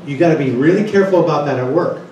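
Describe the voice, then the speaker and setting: A man's voice: a little high, very fast, a little mellow, lo-fi sound, a little hiss or hum. A man leading a seminar workshop, talking with the audience in a chatty, joking way. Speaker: workshop presenter. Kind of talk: interactive seminar talk. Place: hotel conference room. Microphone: handheld mic, far camera. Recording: echoey room, distant camera.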